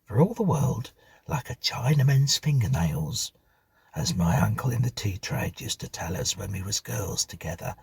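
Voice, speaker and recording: Guy doing fussy old housekeeper lady for a spooky storybook, superstitious, raspy, pitched up, servant talk. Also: a little mellow, dry recording, heavily acted. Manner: colloquial rustic servant speech, fussing and scolding. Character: kindly, superstitious, chatty older housekeeper woman.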